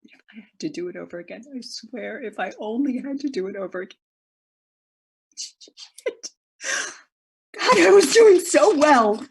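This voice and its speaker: An actress playing a guilt-ridden woman in a Zoom play, giving a halting, emotional confession that swings from loud to hushed. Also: a little high, big pitch swings, gravelly, husky, full character voice, rough recording. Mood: pleading, breaking down. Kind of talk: dramatic monologue in a Zoom play. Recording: video call audio.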